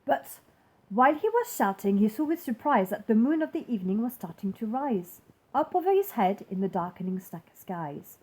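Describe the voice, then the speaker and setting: A woman reading a rhyming storybook aloud to children in an expressive story-time style. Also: fairly high pitch, normal speed, breathy edge.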